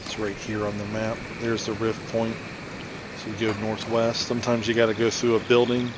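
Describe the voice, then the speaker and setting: A man's voice: fairly low pitch, completely flat delivery, normal speed, background noise. A man giving casual, rambling gameplay commentary as he hosts a playthrough. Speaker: host of a game playthrough. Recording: music behind the voice. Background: loud game music.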